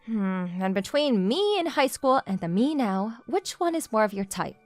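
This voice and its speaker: Woman playing lively, confident young female CEO. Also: fairly high pitch, moderate pace, faint music behind. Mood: confident, teasing. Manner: straightforward, teasing, self-assured. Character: confident, pushy young female CEO, teasing her junior. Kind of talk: manga dub romance acting.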